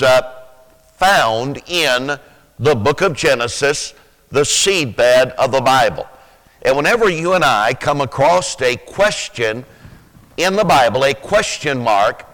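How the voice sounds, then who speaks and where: A man in his sixties with a rough, sleepy-sounding voice, preaching a sermon slowly, with many pauses, then rising with fervent emphasis. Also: medium pitch, fairly bright tone. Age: 60s. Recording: reverberant hall.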